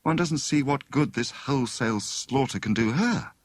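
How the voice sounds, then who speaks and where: A man voicing a thoughtful, inquisitive young woman amateur detective in an audiobook story, musing deliberately. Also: mid-range voice, lively intonation, no room sound, natural voice. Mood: speculative.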